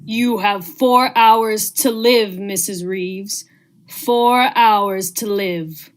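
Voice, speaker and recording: Woman acting a slow, menacing mystery caller. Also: mid-range voice. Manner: ominous, drawn-out. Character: menacing mystery caller making a death threat. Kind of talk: vintage radio thriller acting.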